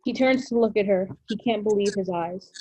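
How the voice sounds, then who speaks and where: A woman's voice: mid-range voice, normal speed, a little mellow, slightly rough, dry recording, low-quality recording. A woman narrating the stage directions of a script at a table read.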